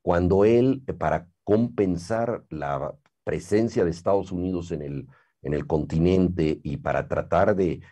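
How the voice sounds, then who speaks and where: Mexican man, middle-aged interviewer, very low pitch, mellow tone, measured and articulate. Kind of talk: cultured interview conversation. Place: living room with bookshelves, seated.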